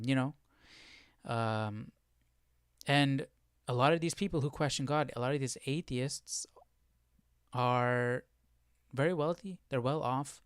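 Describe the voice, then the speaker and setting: Man thinking aloud, clear dry voice. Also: mid-range voice, no echo. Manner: questioning, explanatory, often checks with "right?". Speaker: livestream Bible study host. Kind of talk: casual live Bible commentary, thinking aloud.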